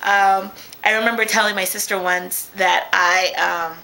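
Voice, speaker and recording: A young woman talking casually to her webcam from a small room at home, chatty and quick to laugh, often restarting her sentences. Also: medium pitch, steady tone, gravelly, bright, forceful tone, a little hiss or hum, rough recording. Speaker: vlogger. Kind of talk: casual video diary. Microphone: webcam at close range.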